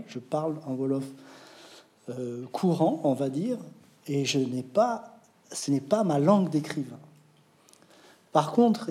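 A man who writes novels, answering interview questions in a reflective, hesitant way with many pauses. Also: a little high, dark tone.

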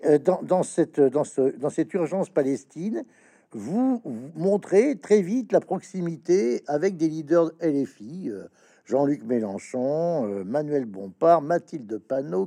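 A man hosting an interview, asking questions in a halting way with many stammered restarts and fillers. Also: mid-range voice, dark tone. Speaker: interviewer, host. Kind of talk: interview questions, reading passages aloud.

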